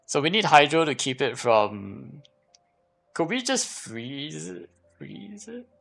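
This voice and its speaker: A man's voice: on the high side, sing-song, slow pace, gravelly, fairly bright tone, soft background music. A man giving casual live gameplay commentary, thinking aloud as he repeats and questions himself. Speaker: game livestreamer.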